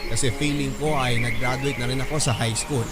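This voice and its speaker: Brief: male narrator, confiding story read, mid-pitched, loud music underneath. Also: fairly even intonation, fairly quick. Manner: confiding, addresses the listener directly. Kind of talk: dramatic first-person story reading.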